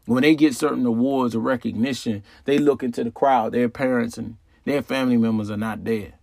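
A man's voice: mid-range voice, fairly even intonation, a little fast, gravelly, darkish tone, dry recording, clean studio sound. A man hosting an astrology talk in a casual, conversational way, repeating phrases and asking rhetorical questions. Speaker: astrology channel host. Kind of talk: casual explanatory monologue.

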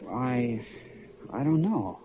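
A bewildered young man in a vintage radio play. His speech is halting and lost, with big pitch swings, under the dull sound and faint noise of an old broadcast. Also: sing-song. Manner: halting, confused. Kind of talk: classic American radio drama acting. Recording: muffled, noisy old radio recording.

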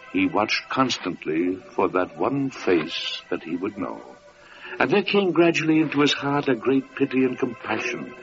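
An actor playing a solemn storyteller, narrating a biblical legend in elevated, dramatic prose for an old-time radio drama. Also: male voice, mid-range voice, natural voice. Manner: solemn, dramatic, measured literary phrasing. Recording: dull vintage radio recording.

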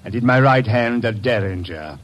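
A man's voice: medium pitch, animated, normal speed, close to an everyday voice. A man playing a guilty sculptor who is accused of murder in a 1940s radio drama, cold and defensive. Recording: old radio transcription.

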